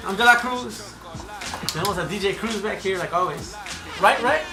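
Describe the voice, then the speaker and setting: A man hosts a casual interview, chatty and encouraging in a slangy, easy way. His voice sits a little high. The room rings with echo, and loud music plays underneath. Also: moderate pace. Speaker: show host.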